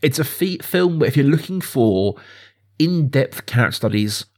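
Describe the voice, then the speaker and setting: Male podcast host, mid-pitched voice, informal and chatty film talk. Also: lively intonation, normal speed, darkish tone. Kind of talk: casual film discussion.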